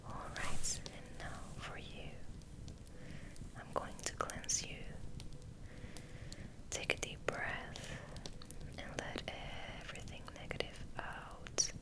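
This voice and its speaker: Slow whispering woman, ASMR. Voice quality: breathy, unvoiced whisper. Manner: slow, gentle, soothing, repeats words softly. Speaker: ASMR host. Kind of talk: whispered ASMR ramble with soothing affirmations.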